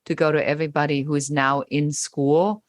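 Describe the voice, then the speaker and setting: A woman's voice: deep voice, level tone, a little fast, no room sound, studio quality. A woman with a German accent, a guest on a podcast interview, speaking emphatically and stacking points in rising lists.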